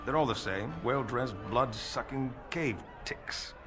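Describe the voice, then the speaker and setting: A man's voice: mid-range voice, measured pace, music in the background, natural voice. A man playing a wry, self-deprecating dwarf nobleman in a video game, with a casual, sardonic delivery. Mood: weary, disillusioned. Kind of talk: video game character acting.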